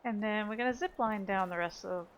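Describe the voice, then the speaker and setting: A woman's voice: mid-range voice, a little fast, background noise. A woman giving casual gameplay commentary, playfully exclaiming and talking to herself and the game. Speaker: video game streamer. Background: game music and sound effects.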